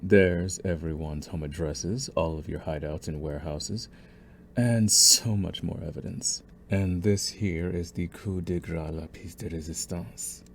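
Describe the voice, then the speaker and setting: A man's voice: low voice, unhurried, slight background noise. A man playing a young, possessive mafia boss in a scripted ASMR audio roleplay, taunting and condescending in a theatrical, menacing way. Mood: smug menace. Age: young adult.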